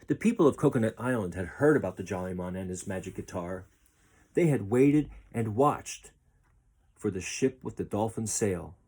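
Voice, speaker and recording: Guy reading a kids story, lowish voice, kinda husky, expressive and deliberate. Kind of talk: children's story read aloud.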